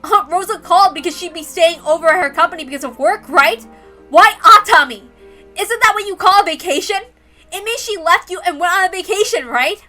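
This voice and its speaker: A woman's voice: very high-pitched, fairly quick, sharp tone, soft background music, pitched above the performer's own voice. A woman voicing an argumentative, sceptical girl who questions insistently and sounds exasperated, in a dramatic story reading. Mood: indignant. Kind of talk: dramatic reading of a visual novel.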